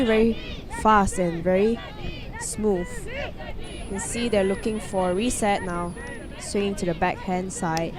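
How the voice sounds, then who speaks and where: Chatty female sports commentator over crowd. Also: medium pitch. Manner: chatty, fluent, describing play in detail. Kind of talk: live play-by-play commentary. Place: outdoor sports field. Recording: outdoor recording with clear crowd noise.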